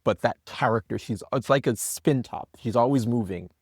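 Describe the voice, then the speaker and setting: A man with a middling-pitched voice talks in an interview. He explains things earnestly and conversationally. The sound is dry, with very little room. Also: moderate pace, breathy edge, no echo. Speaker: podcast guest, audio drama creator. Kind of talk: behind-the-scenes interview chat.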